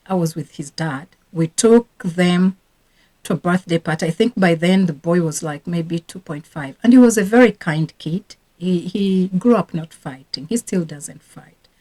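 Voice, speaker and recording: A woman's voice: on the low side, darkish tone, low-quality recording. A woman from Rwanda, a teacher and parenting coach, talking casually and earnestly to camera about her own parenting regrets. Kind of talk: casual advice talk to camera.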